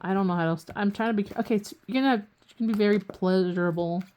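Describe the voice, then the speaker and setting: A woman's voice: medium pitch, fast talker, a little mellow, a little hiss or hum. A woman giving a casual tarot reading who is losing her voice, rambling and often restarting her sentences. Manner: swears casually. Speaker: tarot reader.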